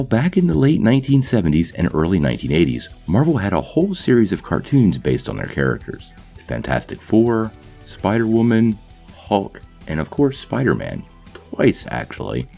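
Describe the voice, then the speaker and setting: A man's voice: extremely low pitch, gravelly, darkish tone, slightly rough. A man hosting a comic nostalgia channel, reading a scripted voice-over in an enthusiastic, playful storytelling manner. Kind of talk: scripted video narration.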